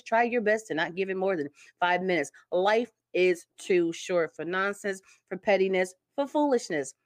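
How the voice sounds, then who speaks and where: A woman's voice: fairly low pitch, no room sound, clean studio sound. A woman in her thirties from Connecticut, hosting a casual interview show in a chatty, upbeat way and laughing often. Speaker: podcast host.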